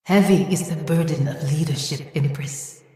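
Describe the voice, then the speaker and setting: A woman voicing a cool, imperious phantom in a video game, measured and condescending, with quiet mockery. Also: low voice, natural voice. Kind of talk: video game character acting. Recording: echoing hall reverb.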